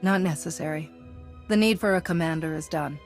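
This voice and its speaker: A woman's voice: sits low, brisk, faint music behind. A woman playing a composed, direct veteran hero in a space fantasy game, cool and concise. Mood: direct, inquiring.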